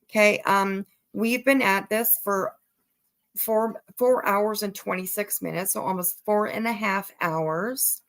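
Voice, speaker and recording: A woman's voice: mid-range voice, steady tone, slow, a bit bright. A woman hosting a casual livestream commentary, talking bluntly and emphatically, repeating phrases for emphasis and swearing casually. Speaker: livestream host.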